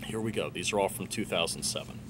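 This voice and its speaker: An American man with a fairly low voice delivers casual commentary like a blogger talking to viewers. His manner is wry and a touch theatrical. Faint outdoor urban noise and traffic sit behind the voice. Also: quick pace. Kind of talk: casual commentary with dramatic read-aloud of emails.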